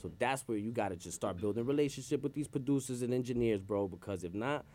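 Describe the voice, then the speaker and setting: A Puerto Rico-born man, a rapper, chatting loosely in street slang as an interview guest, his sentences trailing off low. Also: quick pace, mellow. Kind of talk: casual interview chat.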